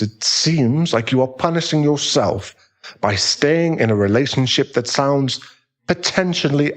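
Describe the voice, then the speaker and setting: Casting: male actor as composed, frank therapist; formal, tactful, measured audio-roleplay delivery. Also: mid-range voice, close to an everyday voice. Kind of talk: dramatic audio roleplay acting.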